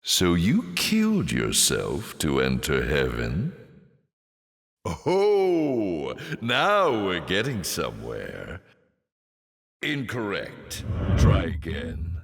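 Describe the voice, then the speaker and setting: An actor playing a suave, sardonic demon lord in a video game, theatrical and sly, with mock courtesy. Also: male voice, sits low, wildly swooping pitch, very slow, full character voice. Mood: taunting, playful. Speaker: arena master taunting the player. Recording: echoey hall reverb.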